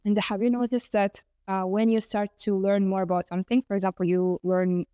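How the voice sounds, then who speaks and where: A woman's voice: mid-range voice, level tone, a little fast, mellow, smooth, dry recording, studio quality. A woman from Kazakhstan whose first language is Kazakh, hosting an interview and asking careful, hesitant questions. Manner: careful, hesitant, with frequent filler sounds. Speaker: interview host.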